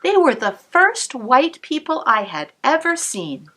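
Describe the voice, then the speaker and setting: A woman cantor reading a picture book aloud to children, pausing to explain the pictures, sometimes dropping to a whisper. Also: high-pitched. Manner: slow, expressive storybook reading with soft whispered asides. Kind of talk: read-aloud storytime with asides to kids.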